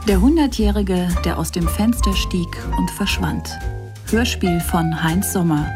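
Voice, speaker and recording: A woman's voice: on the low side, measured pace, music in the background. A woman announcer reading scripted titles and credits for a radio drama.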